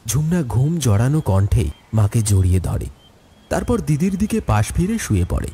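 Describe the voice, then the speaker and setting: A man's voice: medium pitch, sing-song, moderate pace, warm tone, slightly rough. A man giving a scripted, dramatic narration of a horror story.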